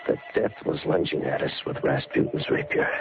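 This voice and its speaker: Deep-voiced, haunted radio-drama man. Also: lively intonation, vocal fry, loud music behind the voice, full character voice. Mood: haunted, brooding. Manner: intense, halting, dramatic. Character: haunted, brooding bladesmith unravelling under obsession. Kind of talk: 1940s radio acting. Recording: muffled, very noisy old radio recording.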